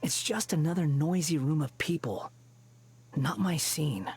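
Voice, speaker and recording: Game role: reluctant male scholar recluse, aloof, dry, unhurried delivery. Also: a little high, vocal fry, darkish tone, husky, exaggerated voice. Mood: irritated, reluctant. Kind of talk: video game voice acting.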